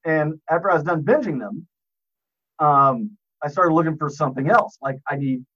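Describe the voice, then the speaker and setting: A man's voice: a little high, normal speed, a bit bright, highs cut off. A man on a podcast as a guest, telling rambling, profane stories and laughing at his own jokes. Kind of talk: casual podcast chat, storytelling.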